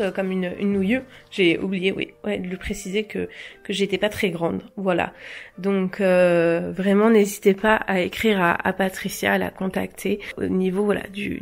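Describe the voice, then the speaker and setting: A young woman chatting casually to camera about clothes she bought, hesitant, with many fillers and small laughs. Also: mid-range voice. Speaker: fashion vlogger. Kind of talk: casual haul chat to camera.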